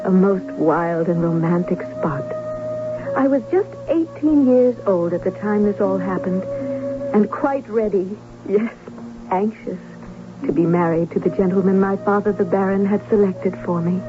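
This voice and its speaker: A woman's voice: medium pitch, measured pace, natural voice. An eager, romantic young noblewoman played by a woman, speaking formally and earnestly in vintage radio drama style. Music plays behind her on a dull old recording. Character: eager romantic young baron's daughter, dutiful bride-to-be.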